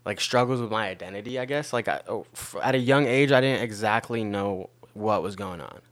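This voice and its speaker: A young American man telling his own story in casual conversation, haltingly, repeating phrases and often checking in with the listener. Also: on the low side, fairly bright tone. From Long Beach, California, United States. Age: young adult. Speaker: podcast guest.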